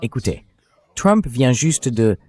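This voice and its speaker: A man's voice: medium pitch, sing-song, slow, a little mellow. An AI-voiced man speaking as a talk show host, giving dubbed political commentary. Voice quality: synthetic AI voice.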